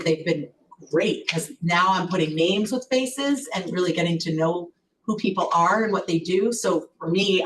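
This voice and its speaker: A woman with a loud, lowish voice gives an upbeat board meeting report, chatty and full of fillers and restarts. She is picked up from a distance in a meeting room, with a little noise underneath. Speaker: collaborative executive director. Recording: distant mic with faint noise.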